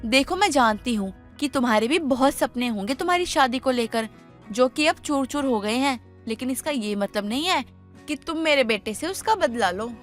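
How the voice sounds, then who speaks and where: A woman's voice: high-pitched, expressive, moderate pace, soft background music. A woman voicing a stern, protective older mother-in-law in a Hindi story cartoon, firm and admonishing. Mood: firm, warning. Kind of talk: Hindi animated story dialogue.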